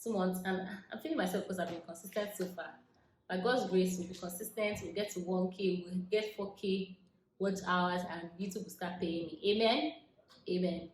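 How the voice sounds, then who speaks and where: A young Nigerian woman talks straight to camera in a relaxed question-and-answer vlog. She laughs easily and often lets her voice drop into quiet asides. The room is echoey, with a faint hiss behind her. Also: lowish voice, normal speed. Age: 20s. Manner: chatty, laughs often, drifts into soft asides. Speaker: vlogger. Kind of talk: casual Q&A chat to camera.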